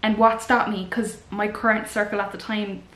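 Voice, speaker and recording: A woman's voice: medium pitch, little pitch movement, moderate pace, fairly bright tone. Chatty woman in an echoey room. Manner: chatty, earnest, rambling with fillers. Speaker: vlogger. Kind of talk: casual advice chat to camera.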